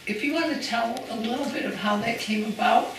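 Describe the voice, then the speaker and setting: A woman's voice: on the high side, fairly quick, vocal fry. A woman hosting a gathering in a living room, upbeat and steering the conversation. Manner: upbeat, guiding the talk. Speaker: host of the gathering. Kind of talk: casual chat. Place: living room of a large house, indoors. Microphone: far camera. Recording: echoey room from far off.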